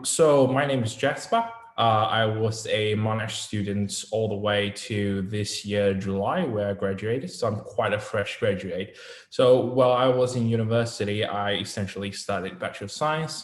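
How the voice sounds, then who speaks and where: A man in his twenties from China, giving a casual online talk as an alumni guest speaker. He speaks haltingly and thinks aloud as he goes. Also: on the low side, steady tone, reverberant. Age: 20s. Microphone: video call.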